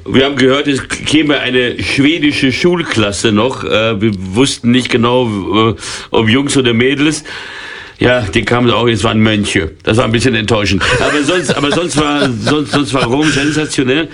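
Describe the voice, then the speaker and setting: A man in his sixties with a middle-pitched voice chats in a radio studio. He is a retired football commentator who tells stories with dry humour, hesitating and restarting his sentences. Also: normal speed, a bit bright, slight background noise. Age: 60s. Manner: anecdotal, wry, many fillers and restarts. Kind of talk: casual radio interview chat.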